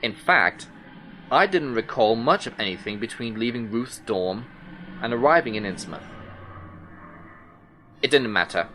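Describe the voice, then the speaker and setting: An actor playing an intent, unsettled male detective, recounting his case in brooding, deliberate first-person audio drama narration. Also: medium pitch, lively intonation, pushed and bright, slight background noise. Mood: uneasy, puzzled.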